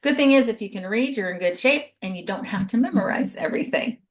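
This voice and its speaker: A woman's voice: a little high, expressive, quick pace. A woman hosting a video call, giving an upbeat, persuasive sales presentation. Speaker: host.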